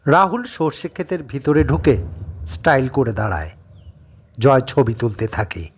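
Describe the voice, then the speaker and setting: A man narrating a scripted dramatic story in an expressive manner. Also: medium pitch, moderate pace, slightly rough.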